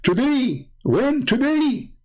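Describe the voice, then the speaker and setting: A man's voice: extremely high pitch, big pitch swings, slow pace, darkish tone, pitched above the performer's own voice, exaggerated voice. A man with non-native English voicing a terrified prophet in mockery, exclaiming and repeating himself in panic. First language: not English. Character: terrified religious prophet, mockingly played as panicky.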